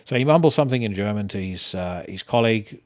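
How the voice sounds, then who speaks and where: A middle-aged South African man hosts a podcast on his own, talking casually and loosely. His voice sits very low, and he swears freely, filling his talk with fillers and restarts. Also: expressive, fast talker, darkish tone. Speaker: podcast host. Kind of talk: casual solo podcast chat.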